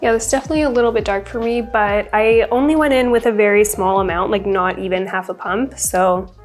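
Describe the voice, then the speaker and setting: A woman's voice: mid-range voice, brisk, faint music behind. A young woman with a congested voice, chatting casually through a makeup routine as a beauty vlogger. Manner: chatty, upbeat, rambling product talk. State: congested from a cold. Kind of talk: casual get-ready-with-me chat.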